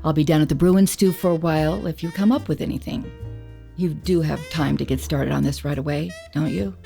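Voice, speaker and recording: A woman's voice: low-pitched, fairly quick, creaky, warm tone, soft background music. An actress playing a detective's playful, confident female friend in a radio drama, with a teasing manner.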